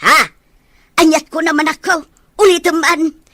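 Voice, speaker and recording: A woman with an Ilocano accent playing an excitable, affectionate, exclaiming mother in a serial drama. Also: extremely high pitch, a little slow, no echo, clean studio sound, heavily acted. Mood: excited. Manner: animated, exclaiming. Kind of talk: Ilocano radio-style serial drama acting.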